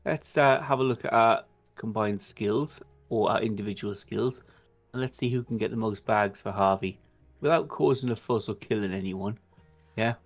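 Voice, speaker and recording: A man's voice: fairly low pitch, moderate pace, muffled recording, faint music behind. A man improvising in a tabletop role-playing game. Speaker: tabletop role-play player.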